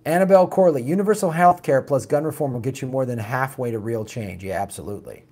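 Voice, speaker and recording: Raspy man, casual opinionated chat, reads out viewer comments. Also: medium pitch, fast talker. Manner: blunt, animated, mocks opponents with put-on quotes. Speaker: political podcast host reading viewer comments.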